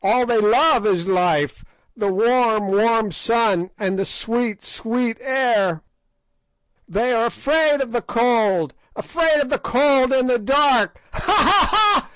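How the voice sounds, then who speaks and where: Wicked ancient witch, voiced by a man: shrill, mocking, dry close sound. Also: takes it slowly, clear, resonant tone, no room sound, rough recording. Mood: cackling scorn. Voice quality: shrill, cackling. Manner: screeching, mocking. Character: ancient shrieking female witch, wicked and gloating. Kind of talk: audiobook character voice.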